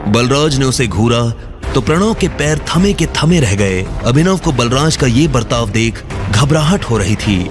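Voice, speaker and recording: A man with a Haryanvi accent narrates an audio drama from a script. His voice is of medium pitch, and music plays clearly behind it. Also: animated, measured pace.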